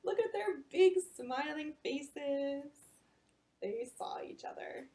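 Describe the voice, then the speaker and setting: A woman librarian reading a picture book aloud at bedtime storytime and explaining it to children in an animated way. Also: high-pitched, takes it slowly.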